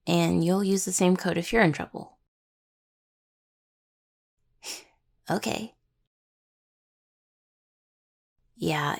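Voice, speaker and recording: Husky, gravelly young necromancer woman, acted. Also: on the low side, full character voice. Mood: relieved, tentative. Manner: halting, casual, teasing. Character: affectionate, playfully stubborn young necromancer healer, a devoted partner. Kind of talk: intimate roleplay audio, one-sided dialogue to listener.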